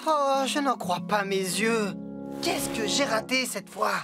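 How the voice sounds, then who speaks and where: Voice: mid-range voice, expressive, takes it slowly. A glum young man learning the building trade in a children's cartoon. He sounds unsure of himself and hesitant, with music underneath. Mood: dismayed. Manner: downcast, hesitant. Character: Léo, glum self-doubting young male apprentice builder. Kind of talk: animated children's cartoon dub.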